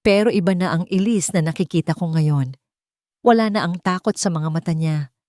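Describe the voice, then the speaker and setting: Low-voiced woman narrating a love story. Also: moderate pace, mellow, dry recording, studio quality. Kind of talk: scripted first-person romance story narration.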